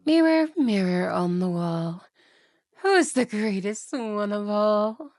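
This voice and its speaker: A woman's voice: mid-range voice, expressive, slow pace, darkish tone, no room sound, clean studio sound. A woman playing a cruel, jealous evil queen and stepmother in a fantasy audio roleplay, with an imperious, menacing, theatrical delivery.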